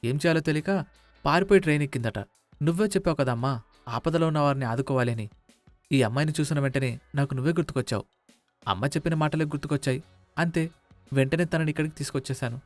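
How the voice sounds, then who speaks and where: A man plays an anxious young son in a family moral story. His highish voice rattles along quickly, hesitant and coaxing as he explains himself. Faint music sits underneath. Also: no room sound, lightly acted. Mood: earnest, persuasive. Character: timid, evasive, pleading young adult son. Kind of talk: dramatised moral story dialogue.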